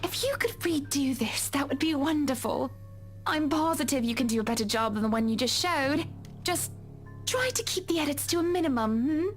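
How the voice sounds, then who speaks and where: A gracious, condescending socialite wife with a high voice. She is sweetly polite and commanding, scattering endearments as a visual novel character, over soft background music. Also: expressive, normal speed. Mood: cool, polite. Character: poised, wealthy married socialite woman, gracious and condescending.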